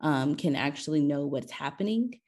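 A woman's voice: deep voice, expressive, moderate pace, darkish tone. A woman from Los Angeles, executive director of an advocacy group, talking upbeat with lots of ums. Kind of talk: casual panel talk.

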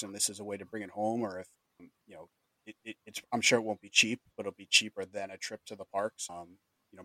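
A man's voice: on the low side, fairly bright tone, dry recording. A man hosting a casual podcast chat, steering the talk along with plenty of fillers. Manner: fast-talking, steering the discussion with fillers. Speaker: podcast host.

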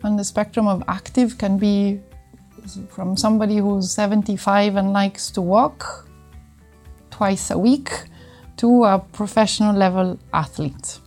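Mellow-voiced Italian woman explaining things. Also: medium pitch, fairly even intonation, unhurried, soft background music. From northern Italy. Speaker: interview guest, sports medicine doctor. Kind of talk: conversational interview answers.

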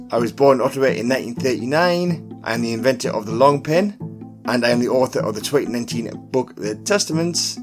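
Animated male quiz host over music. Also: medium pitch. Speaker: pub quiz podcast host. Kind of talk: scripted quiz hosting, reading questions and clues. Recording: music bed under the voice. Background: background music.

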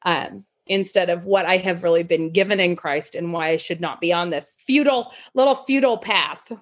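A woman's voice: on the low side, normal speed. A woman from Idaho talking as a podcast guest, frank and laughing often, thinking aloud with ums. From Idaho, USA. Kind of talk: casual interview chat.

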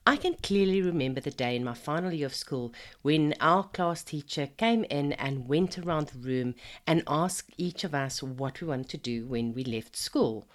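Podcast host, woman in her 50s, low and croaky from a cold, friendly pep-talk delivery. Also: expressive, moderate pace. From Zimbabwe. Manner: chatty and encouraging, addresses the listener as a friend. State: hoarse from a cold. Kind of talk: casual motivational podcast monologue.

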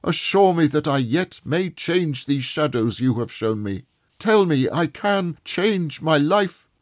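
Old miser pleading in anguish, husky voice, very lively pitch swings, dry sound, a man acting it out. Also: measured pace, no room sound. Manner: desperate, rising to anguished cries.

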